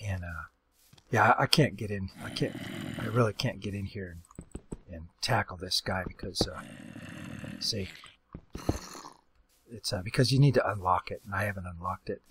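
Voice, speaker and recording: A man hosting a casual game commentary, rambling and halting with lots of fillers and restarts, with a voice that is going hoarse. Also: low voice, a bit bright, a little hiss or hum. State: losing his voice. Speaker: let's play host.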